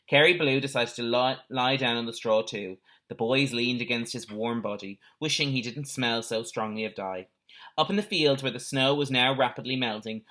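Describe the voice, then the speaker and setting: Bright-voiced man reading a children's story aloud. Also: medium pitch. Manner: animated character voices with explanatory asides. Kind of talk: expressive read-aloud of a children's adventure story.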